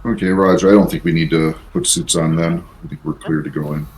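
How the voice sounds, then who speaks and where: A man with a very low voice plays in a tabletop roleplaying game. He talks casually but deliberately, pausing to sort out his thoughts and using clipped, radio-style acknowledgements. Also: steady tone.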